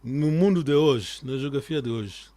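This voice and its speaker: A man teaching a live online language lesson, quizzing his students and repeating key words slowly so they stick. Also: mid-range voice, dark tone, no room sound.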